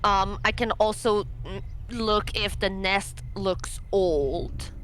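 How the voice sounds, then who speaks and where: A woman's voice: mid-range voice, slow pace, fairly bright tone, poor audio. A woman playing a tabletop game, explaining her moves casually and a bit tentatively.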